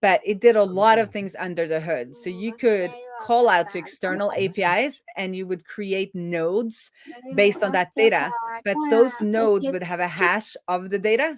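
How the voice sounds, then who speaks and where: A woman hosting a casual live coding stream, thinking aloud as she explains technical things informally. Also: medium pitch. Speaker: live-streaming web developer and host.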